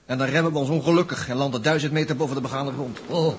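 An actor with a Netherlands Dutch accent playing a chatty, nervy, excitable astronaut in a 1960s radio drama. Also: male voice, mid-range voice, rapid-fire, lightly acted. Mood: impatient, nervous. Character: jittery, talkative male astronaut on a test crew. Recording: noisy vintage 1960s radio recording.

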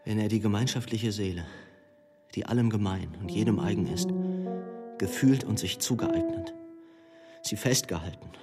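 A man giving a solemn, measured and formal literary reading of philosophical prose. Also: on the low side. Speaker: reader. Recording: long reverberant hall, music underneath.

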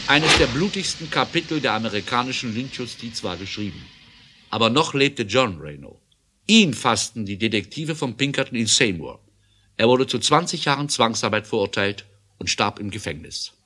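A man's voice: mid-range voice, very animated, normal speed, sharp tone, slight background noise. A man narrating an adventure audio drama in an emphatic, theatrical way.

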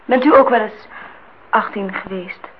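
A woman's voice: medium pitch, unhurried, husky. An actress playing an earnest, questioning eighteen-year-old girl in a Dutch radio play, talking in short, probing phrases. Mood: defiant, curious. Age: young adult. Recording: dull, noisy old radio play recording.

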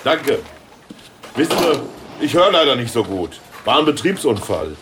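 Lively, jokey, slightly dim bloke. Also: male voice, mid-range voice, unhurried, background noise. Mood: earnest, oblivious. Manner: colloquial, joking. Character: chatty, jokey man, hard of hearing, slightly dim. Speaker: radio play actor. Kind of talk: naturalistic radio drama dialogue.

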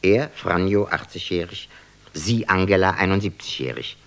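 A man's voice: low voice, moderate pace, gravelly, a bit bright. A man reading scripted literary narration for a German radio play.

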